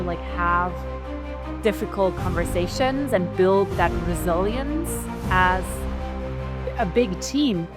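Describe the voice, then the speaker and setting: A woman in her thirties with a German accent, a guest talking casually in an interview, full of "like" and "you know". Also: medium pitch. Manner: chatty, many fillers like "like" and "you know".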